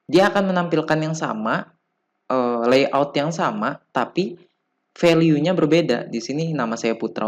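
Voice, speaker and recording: A man with an Indonesian accent giving an informal coding tutorial as an instructor, explaining haltingly, step by step. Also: mid-range voice. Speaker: programming instructor.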